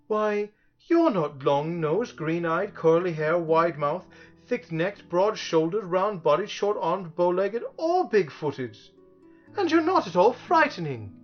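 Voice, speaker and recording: Guy doing an indignant storybook kid, earnest, voice pitched up, slightly muffled audio. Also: big pitch swings, measured pace, soft background music. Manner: indignant, protesting.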